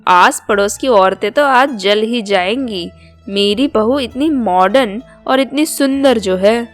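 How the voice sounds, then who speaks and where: A woman's voice: on the high side, slow. Older mother-in-law played by a woman, small-town, proud, chatty, insistent, storybook cartoon, music behind. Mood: admiring. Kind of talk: Hindi storybook cartoon dialogue.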